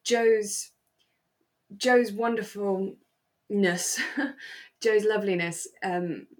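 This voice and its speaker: A British woman co-hosting a relaxed fan podcast, chatting in an effusive, sentimental way. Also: medium pitch, extremely slow. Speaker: podcast co-host. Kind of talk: relaxed fan chat about a radio drama.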